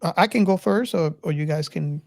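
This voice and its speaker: A woman hosting a casual film discussion, speaking haltingly and repeating words as she goes. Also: fairly low pitch, creaky, darkish tone, no echo, clean studio sound. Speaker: podcast host.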